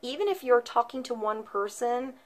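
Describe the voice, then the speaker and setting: A woman's voice: on the high side, animated, moderate pace. A woman in her thirties doing an intuitive tarot reading to camera, flowing and reassuring, with frequent ums and likes. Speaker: tarot reader. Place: small indoor room, seated before a painted wooden backdrop.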